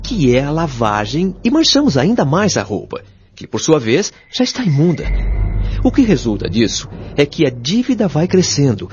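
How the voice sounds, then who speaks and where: A man's voice: fairly high pitch, huge pitch swings, moderate pace, a little mellow. A Brazilian man playing a patient, authoritative spirit guide in an audio drama, explaining things in a solemn, articulate, didactic way. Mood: earnest, instructive. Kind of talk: audio drama acting, expository dialogue. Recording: dull lo-fi audio over music.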